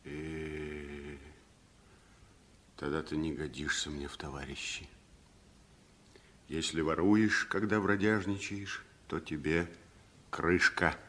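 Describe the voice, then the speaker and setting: An actor playing a good-natured, plain-spoken wandering tramp in a 1960s radio play, folksy and expressive. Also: male voice, rumbling low, slow, long pauses, a little hiss or hum, exaggerated voice. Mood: stern.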